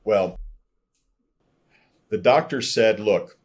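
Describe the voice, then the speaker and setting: An older American man with a very low voice and a rough, husky edge. He shares a personal story as a podcast guest, earnest and a little halting. He speaks from a room at home over a video call. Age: older, around 60. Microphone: video call, computer microphone at desk distance.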